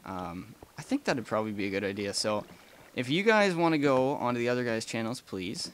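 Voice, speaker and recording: A man's voice: mid-range voice, big pitch swings, a little fast, a little hiss or hum. A young man casually chatting and rambling through a let's play, with frequent ums and small laughs. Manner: rambling and chatty, many ums, gasps and laughs while playing. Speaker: gaming channel host.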